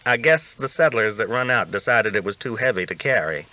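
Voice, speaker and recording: A man's voice: lowish voice, expressive, brisk, dull audio, natural voice. A man voicing a talkative, teasing old Texas cowboy with folksy, drawling talk. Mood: teasing, animated.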